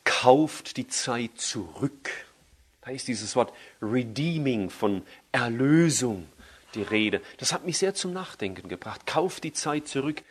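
A man preaching a sermon in German. He leans hard on key phrases and slows down when he quotes scripture. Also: mid-range voice, lively intonation, breathy edge. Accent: German, with a native dialect.

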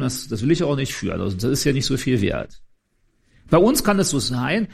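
A man's voice: fairly high pitch, gravelly. A man preaching in an informal, conversational and explanatory way, addressing his listeners directly. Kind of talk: informal sermon.

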